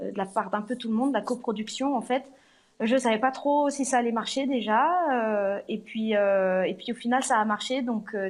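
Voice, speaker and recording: A woman singer being interviewed at a venue, speaking haltingly with many fillers and restarts. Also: on the high side. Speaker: singer, guest. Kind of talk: live interview on location. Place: arts centre venue.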